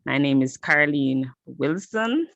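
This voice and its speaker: A woman's voice: sits low, animated, takes it slowly, gravelly, fairly bright tone, dull audio. A woman student who stutters, introducing herself hesitantly over her phone with many fillers and restarts. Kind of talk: self-introduction in class.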